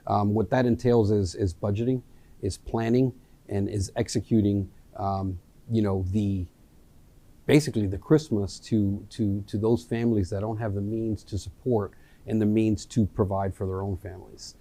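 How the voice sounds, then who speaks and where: A man in his forties from Miami, a retired Marine, introducing himself as a local election candidate in an earnest, halting way with many ums and restarts. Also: lowish voice, steady tone, mellow. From Miami, USA. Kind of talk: candidate introduction, casual speech. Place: local access studio.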